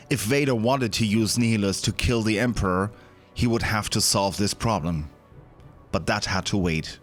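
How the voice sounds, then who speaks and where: A man reading scripted, dramatic narration for a science-fiction audio drama. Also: on the low side. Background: background music.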